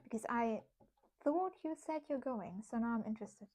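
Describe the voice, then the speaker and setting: Brief: young woman, German accent, slightly high voice, loose chatty livestream talk, reads chat while she works, giggles a lot. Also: normal speed, a little mellow. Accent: German, with some British English. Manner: chatty, laughs often, talks to herself while working. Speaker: streamer. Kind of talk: casual craft livestream chat, reading chat aloud.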